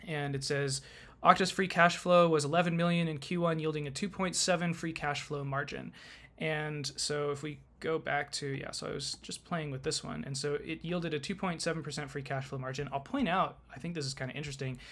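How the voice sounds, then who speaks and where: A man presenting a software tutorial in a conversational, informal and explanatory way, as in a casual screen-share. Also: on the high side, steady tone, fairly quick.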